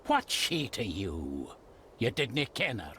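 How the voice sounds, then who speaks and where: An actor playing a gruff, old-fashioned, superstitious older Scottish gardener in a video game, blunt and guarded, speaking in dialect. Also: male voice, fairly low pitch, wildly swooping pitch, slow pace, sharp tone, slight background noise, full character voice. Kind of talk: dramatic game cutscene dialogue.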